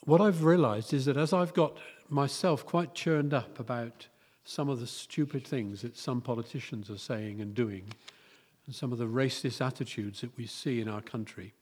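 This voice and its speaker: A British man preaching a sermon in a candid, conversational way, his voice hoarse and croaky. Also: medium pitch, moderate pace, a little mellow. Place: church hall. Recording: long reverb of a church hall.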